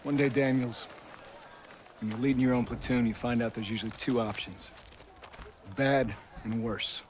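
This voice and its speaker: An actor playing a calm, measured army lieutenant giving advice in a game cutscene. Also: male voice, medium pitch, slight background noise, lightly acted. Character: steady male army lieutenant, protective. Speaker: platoon lieutenant.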